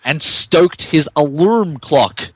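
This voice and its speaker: Animated man reading a story mock-dramatically. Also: a little high, slow pace, slightly rough, no room sound. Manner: mock-dramatic, often stumbles over words. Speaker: podcast co-host and narrator. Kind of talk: comic dramatic reading and casual banter.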